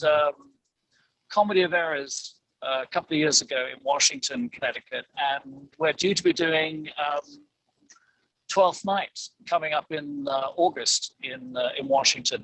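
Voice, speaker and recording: A man in a casual interview, speaking in a hesitant, halting, self-deprecating way as an amateur actor. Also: on the high side, pushed and bright, no room sound, low-quality recording.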